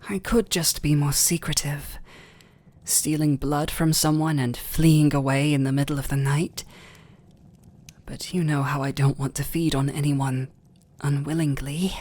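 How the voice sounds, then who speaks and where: Guy doing a british vampire lord for asmr roleplay, husky n breathy, slow, formal, tormented but tender. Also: a little high, no echo. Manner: formal, hesitant, breathy half-whispers. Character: noble vampire lord, restrained, tormented, tender toward servant. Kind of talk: intimate ASMR roleplay acting.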